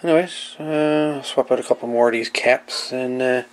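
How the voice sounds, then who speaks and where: A man giving casual, unscripted narration at a workbench in a halting, muttering way as he works. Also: mid-range voice, fairly even intonation. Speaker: hobbyist host of radio repair videos. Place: indoor workbench. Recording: noisy home-camera audio with clicks.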